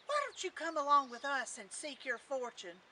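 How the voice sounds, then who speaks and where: A woman's voice: sits high, big pitch swings, moderate pace, bright, forceful tone, far from the mic, a little hiss or hum, exaggerated voice. A woman voicing a friendly, reassuring lad in a storybook folktale, in folksy rural dialect. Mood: encouraging.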